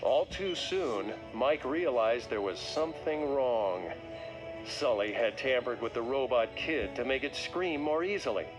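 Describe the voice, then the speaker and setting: Expressive male storybook narrator over music. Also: medium pitch, a little slow, rough recording. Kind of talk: scripted read-along children's story narration.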